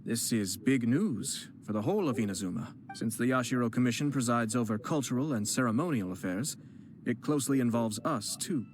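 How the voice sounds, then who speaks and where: A man voicing a composed young noble clan head who speaks formally and in a measured way, with gentle teasing. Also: mid-range voice, animated, slight background noise, natural voice. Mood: courteous calm. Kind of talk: game voice acting. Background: game music.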